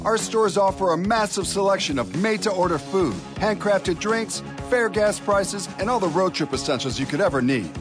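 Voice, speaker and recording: A man's voice: high voice, expressive, normal speed, hardly any pauses, music in the background. A man reading a scripted radio commercial as a voice-over announcer.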